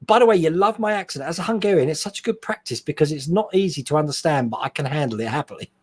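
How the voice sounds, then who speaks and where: Lively man chatting on a live stream. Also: fairly high pitch, breathy edge. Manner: chatty, rambling, quick laughs. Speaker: host. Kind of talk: casual live-stream chat reading viewer comments.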